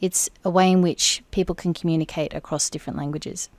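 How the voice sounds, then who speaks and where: Woman voicing a guest's answers, lowish voice, careful and measured, dry close sound. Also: fairly even intonation, a little mellow, no room sound. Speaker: sign language interpreter voicing the guest. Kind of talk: first-person interview interpreting.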